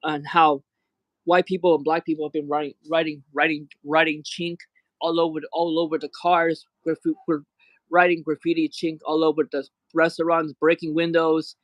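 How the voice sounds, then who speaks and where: A man's voice: on the high side, little pitch movement, normal speed, no room sound, highs cut off. A man hosting a livestream who talks in an angry rant, often repeating words and restarting his sentences. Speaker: livestream host.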